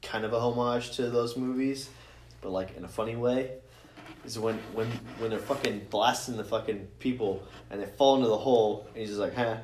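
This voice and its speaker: A man's voice: mid-range voice, fairly quick. A man co-hosting a casual movie podcast, talking emphatically with plenty of swearing and strong opinions. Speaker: podcast co-host. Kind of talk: casual film chat among friends. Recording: roomy echo, faint background noise.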